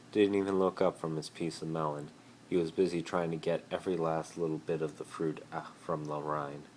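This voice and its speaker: A man with an extremely deep voice narrates a piece of fan fiction as an amateur dramatic reading. He is recorded on a phone, with slight noise around the voice. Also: rough recording. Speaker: amateur story reader.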